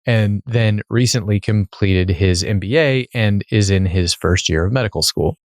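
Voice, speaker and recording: A man's voice: lowish voice, moderate pace, dry recording, studio quality. A man hosting a podcast, reading a guest introduction and asking short questions. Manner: reads the introduction, then short prompts. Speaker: podcast host. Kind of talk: scripted intro then interview.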